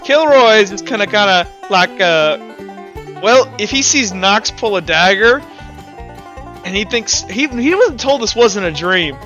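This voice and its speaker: A man's voice: high-pitched, lively intonation, measured pace, bright, forceful tone, lo-fi sound. A man narrating a tabletop roleplaying game as game master, casual, slangy and improvising as he goes, with music playing.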